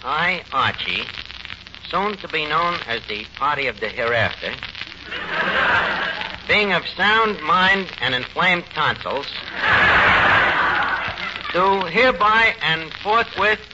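An actor playing a melodramatic, self-important, uneducated tavern manager with a New York accent, mangling lofty phrases in 1940s radio comedy style. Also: male voice, a little high, sing-song, takes it slowly, pauses often. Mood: mock-solemn. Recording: noisy, muffled 1940s radio recording.

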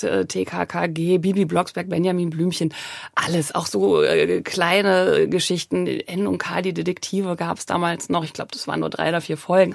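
A woman's voice: on the low side, vocal fry, dry recording, clean studio sound. A woman speaking as a podcast guest, casually telling personal anecdotes. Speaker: podcast guest, audio drama publicist. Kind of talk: casual chat, anecdotal storytelling.

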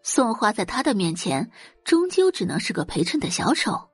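Husky woman narrating an audio drama. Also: mid-range voice, sing-song, moderate pace, no room sound, faint music behind.

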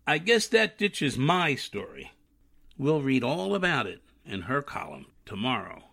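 A man's voice: medium pitch, wildly swooping pitch, unhurried, vocal fry, pushed and bright, full character voice. A man playing a pushy, wry newspaper reporter with a punchy delivery in a 1940s-style radio drama. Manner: punchy, insistent.

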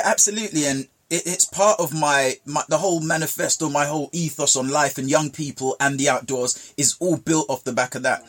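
A man's voice: a little high, fairly bright tone. A man from Jamaica telling childhood stories in casual conversation, with emphatic repetition. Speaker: guest.

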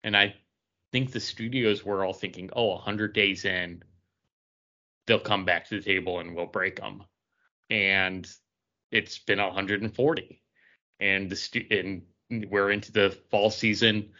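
Man, podcast co-host, lowish pitch, sharp forceful tone, casual explaining, slightly lo-fi audio. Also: steady tone, a little slow. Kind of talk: casual chat about entertainment news. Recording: dull lo-fi sound.

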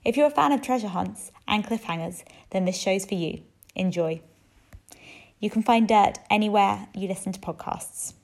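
A woman's voice: medium pitch, moderate pace, gravelly. A woman hosting a podcast, reading a scripted announcement that introduces a show to listeners.

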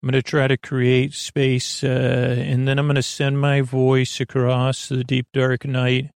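A man speaks in a soothing, lulling way with a heavy vocal fry. He hosts a bedtime monologue that wanders and stumbles through digressions. The recording is dry, with no room sound around him. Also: mid-range voice, monotone, unhurried, dry recording. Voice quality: creaky. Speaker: podcast host. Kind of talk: rambling, meandering bedtime monologue.

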